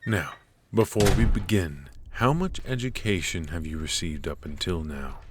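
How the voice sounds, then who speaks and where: A man's voice: low-pitched, expressive, normal speed, slight background noise. A man voicing a cold, strict royal professor of magic, stern and clipped, with dry, condescending remarks in an ASMR roleplay.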